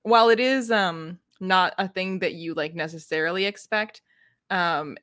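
A woman with a fairly low voice, recorded close and dry, co-hosts a book podcast. She explains things analytically and conversationally, with a wry touch. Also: fairly bright tone, dry recording. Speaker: podcast co-host. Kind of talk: casual book discussion.

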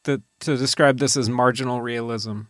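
A man's voice: medium pitch, fairly even intonation, moderate pace, a bit bright, no room sound, studio quality. A man hosting a comedy podcast in casual banter, improvising absurd schemes as he talks. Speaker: podcast host.

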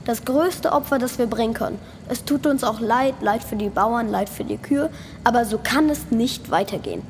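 Woman acting militant lady eco rebel in a radio drama, highish voice, earnest and declaring, bit of noise behind. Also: normal speed, lightly acted. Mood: solemn, resolute. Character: resolute female eco-activist microbe inside a cow, self-sacrificing rebel. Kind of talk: scripted radio play acting. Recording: faint background noise.

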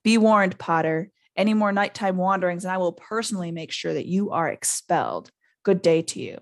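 A woman voicing a smooth, menacing male teacher with a slow, sinister, sneering manner in a storybook reading. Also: high-pitched, animated, no room sound, clean studio sound. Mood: threatening.

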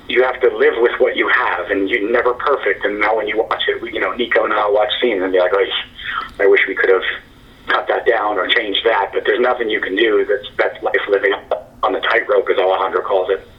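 A man's voice: fairly low pitch, fairly even intonation, fairly quick. Guy in his 40s musing through an interview, lots of ums, kinda far off and dull like over a phone. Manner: thoughtful, hesitant, many fillers and restarts. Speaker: screenwriter guest. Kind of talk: interview conversation.

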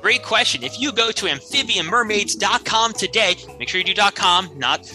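A slick, upbeat ad salesman played by a man pitches briskly and emphatically. His high voice is very bright and sharp, pushing every selling line with persuasive force over faint music. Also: expressive, exaggerated voice. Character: upbeat slick surgery salesman in a mock commercial.

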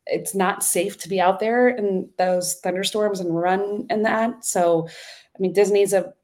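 A woman's voice: mid-range voice, fairly even intonation, measured pace, darkish tone. Chatty woman podcast co-host. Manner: chatty storyteller, many ums and restarts. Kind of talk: casual chat.

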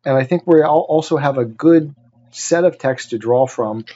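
A man co-hosting a podcast, discussing ideas casually with thoughtful, measured phrasing. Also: a little high, mellow, muffled recording. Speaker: podcast co-host.

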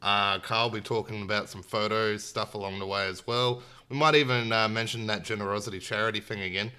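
A man's voice: lowish voice, steady tone, brisk, sharp tone, smooth. A man with an Australian accent hosting a gaming podcast in a casual, informal, jokey way. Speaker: podcast host. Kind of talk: casual gaming podcast chat and news rundown.

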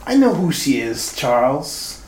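A woman voicing a hissing male gangster gambler in an amateur retro radio drama, who talks slow, rough and ungrammatical. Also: rumbling low, very animated, a little mellow, exaggerated voice. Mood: menacing. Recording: echoey room, off mic, faint noise.

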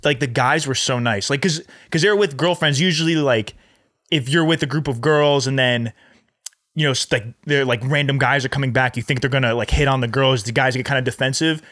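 A man's voice: medium pitch, brisk, fairly bright tone, no echo, clean studio sound. A young American man telling anecdotes in a rambling, informal way as a podcast co-host. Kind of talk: casual anecdotal chat.

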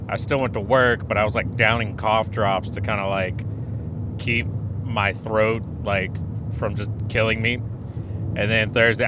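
Rambling podcast host, stuffy throat. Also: male voice. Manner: rambling, self-correcting, joking and laughing at himself. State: stuffy nose and throat after a cold. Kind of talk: casual solo podcast chat. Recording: noisy with background rumble.

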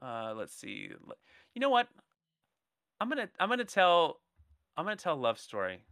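A man's voice: fairly high pitch, wildly swooping pitch, moderate pace, gravelly, a bit bright, no room sound, clean studio sound. A young man hosting a casual comedy podcast, running a draft game in a playful, rambling, jokey way.

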